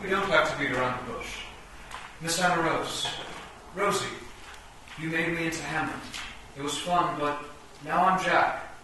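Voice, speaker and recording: A man's voice: fairly high pitch, moderate pace, long pauses, sharp tone. A man playing an earnest, yearning chess piece in a radio play, with a declamatory delivery. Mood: pleading. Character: earnest male chess piece, former Hamlet, yearning for love. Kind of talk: live radio play acting. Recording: echoey noisy stage, far from mic.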